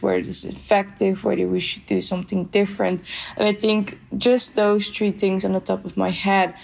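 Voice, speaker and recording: A young woman speaking in a podcast interview as a guest expert, talking in a thoughtful, measured way with frequent fillers. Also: mid-range voice, gravelly, a little mellow, rough recording. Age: young adult. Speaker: youth activism guest expert.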